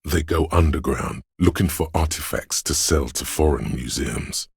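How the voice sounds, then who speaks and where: An actor playing a brooding inner voice of a desolate wintry city, narrating a literary game in a gravelly, husky bass with a measured, solemn and ominous manner. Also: male voice, a little mellow, no echo, heavily acted. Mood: solemn, elegiac. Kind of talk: slow literary second-person game narration.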